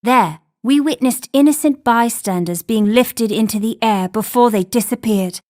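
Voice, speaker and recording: A woman plays a thoughtful young female assistant telling a game's story. Her fairly high voice is measured and pondering, as in scripted narration. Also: dark tone, close to an everyday voice. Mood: pensive.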